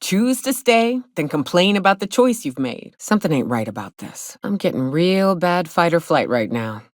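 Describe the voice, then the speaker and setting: An actress voicing a brash, hard-drinking big game hunter in a video game, with folksy, slangy, sardonic talk. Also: female voice, lowish voice, sing-song, normal speed, dry recording, studio quality. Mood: sardonic, teasing, then wry, guarded. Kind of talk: video game character dialogue.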